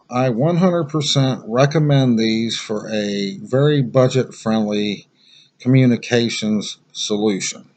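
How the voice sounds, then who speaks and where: A man giving a casual product review in a plain-spoken, halting, conversational way. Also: medium pitch, a little mellow.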